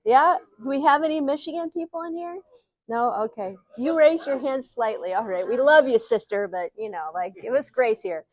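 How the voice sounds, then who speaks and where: A middle-aged woman with an Ohio Midwestern accent gives a live teaching talk. Her voice sits somewhat high, and the sound is very dry with no room echo. She speaks earnestly, building her points with rhetorical questions to the audience. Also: normal speed. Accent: Ohio Midwestern American. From Ohio, USA. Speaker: speaker, psychologist.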